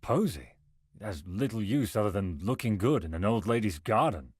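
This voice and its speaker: A man playing a weary, practical travelling merchant in a visual novel, with a husky voice and a wry, muttering manner. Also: on the low side, expressive, normal speed, a little mellow, no echo, studio quality. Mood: dismissive. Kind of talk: visual novel character acting.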